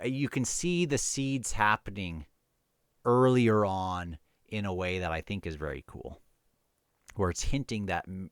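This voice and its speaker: A man hosting a casual book review, talking and reading passages aloud in a halting, self-correcting way. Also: medium pitch, fairly bright tone, clear, resonant tone, no echo, clean studio sound.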